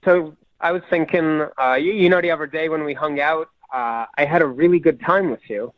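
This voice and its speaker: Male radio phone-in caller, slightly high pitch, muffled line, hesitant casual delivery. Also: fairly quick, no echo.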